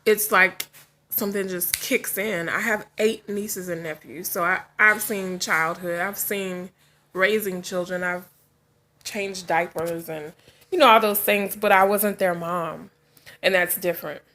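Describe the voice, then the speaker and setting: A woman's voice: on the low side, fairly even intonation, a little slow, sharp tone. A woman in her forties chatting straight to her viewers, open and direct. She laughs easily and wanders into side thoughts. She sounds like she has only just woken up. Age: 40s. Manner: frank, chatty, laughs often, trails off into asides. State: just woke up. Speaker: vlogger. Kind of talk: casual chat to camera.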